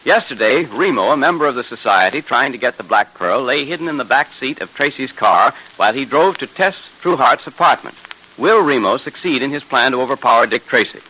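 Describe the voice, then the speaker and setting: A man's voice: medium pitch, animated, brisk, bright, forceful tone, husky, low-quality recording. A man announcing and narrating a 1930s radio serial with emphatic, polished salesmanship. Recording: hissy 1930s radio broadcast recording.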